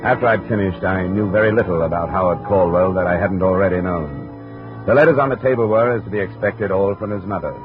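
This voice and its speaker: A man's voice: low-pitched, brisk, lightly acted. An American man playing a dry, businesslike private investigator in a 1950s radio drama, clipped and matter-of-fact. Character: dry, businesslike male private investigator narrating his case. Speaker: lead actor and narrator. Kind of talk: 1950s radio detective acting, scripted narration. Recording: muffled, tinny old radio recording, music behind.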